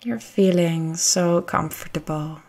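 A woman narrating a guided meditation in a gentle, soothing, unhurried way with long pauses. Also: fairly low pitch, creaky.

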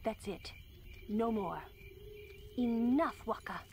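A woman's voice: a little high, slow, darkish tone, a little hiss or hum. An actress playing a stern, cool young woman mage in a video game, clipped and severe. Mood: scolding. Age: young adult. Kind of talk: video game cutscene acting.

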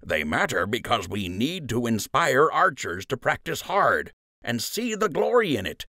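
A man voicing an aged male rabbit archery master in an audiobook, earnest, measured and authoritative. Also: mid-range voice, sing-song, pushed and bright, no echo, clean studio sound, pitched above the performer's own voice. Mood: firm. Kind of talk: audiobook character acting.

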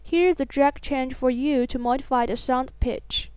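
A woman with a high voice narrates a scripted tutorial. Her tone is smooth and clean, captured very dry. She explains things step by step in an instructional way. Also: normal speed, darkish tone, no room sound.

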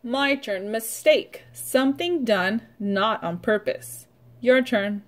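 A middle-aged woman, a preschool teacher, leading a read-aloud vocabulary lesson in an instructional way, pausing for children to repeat. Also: on the high side. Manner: instructional, prompting with pauses for repetition.

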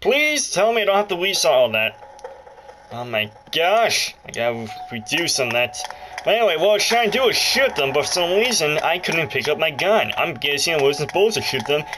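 Animated man doing casual game commentary. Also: a little high, brisk, background noise. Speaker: let's play commentator. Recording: loud game music over the voice. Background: handheld game console's loud music and sounds.

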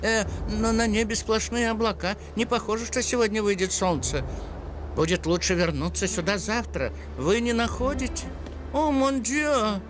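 An actor playing a fussy, excitable animal on an expedition in a cartoon. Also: male voice, high voice, moderate pace, natural voice. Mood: worried. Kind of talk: cartoon dub. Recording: music behind the voice.

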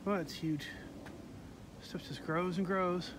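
A man's voice: sits high, fairly even intonation, slow pace. A man casually narrating a walk through a sunny park, pointing things out with lots of fillers, filmed on a handheld phone outdoors. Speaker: vlogger filming a park walk. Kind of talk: casual walking tour narration. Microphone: handheld phone camera. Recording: outdoor phone audio with loud background noise.

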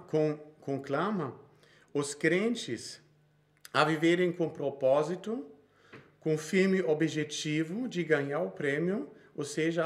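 Slow, measured Brazilian preacher man. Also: on the high side. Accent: Brazilian Portuguese. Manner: measured, reads from notes with frequent restarts. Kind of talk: sermon read from notes.

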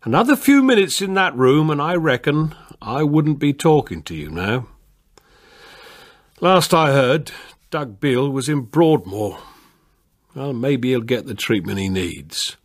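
An actor playing a kindly veteran police constable in a radio drama, calm and plain-spoken with a husky voice. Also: male voice, medium pitch, a little slow. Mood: reflective. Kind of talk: British radio drama acting and narration.

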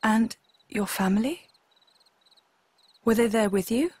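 A gentle young female warrior, unhurried. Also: a little high, slightly rough, dry recording. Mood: warm, reassuring. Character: kindly young woman warrior, gentle and reassuring. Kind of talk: video game cutscene acting.

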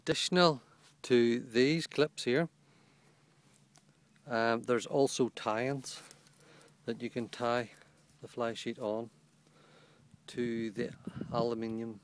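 A man's voice: medium pitch, a little hiss or hum. A man casually walking through the features of a small tent, outdoors on a lawn, filming on a handheld camera. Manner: plain, matter-of-fact, pointing out features. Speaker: outdoor gear reviewer. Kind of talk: casual product walkthrough. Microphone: handheld camera held by the speaker.

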